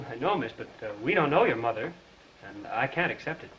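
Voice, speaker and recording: An actor playing a fussy, rule-bound telegraph clerk, matter-of-fact and hesitant, in a 1930s film. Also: male voice, medium pitch, very animated, a little fast, a bit bright. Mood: official, apologetic. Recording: muffled, hissy 1930s film soundtrack.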